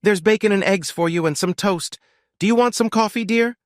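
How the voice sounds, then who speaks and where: A man's voice: high voice, a little fast, no room sound, pitched above the performer's own voice, natural voice. A sweet, pleading middle-aged wife from an audio story, acted out by a male narrator. The delivery is coaxing and the recording is very dry. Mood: sweet, cheerful. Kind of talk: audio story character acting.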